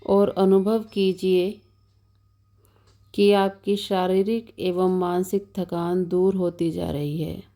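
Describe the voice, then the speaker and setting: A woman leading a guided yoga nidra meditation as the instructor, giving calm, measured, soothing instructions with long pauses between them. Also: on the low side. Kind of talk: slow guided meditation narration.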